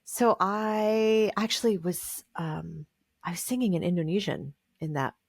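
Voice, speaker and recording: Low-voiced woman musing in a dry room. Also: measured pace, creaky, no echo. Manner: thoughtful, meandering, with many fillers and restarts. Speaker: guest musician. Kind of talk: casual interview conversation.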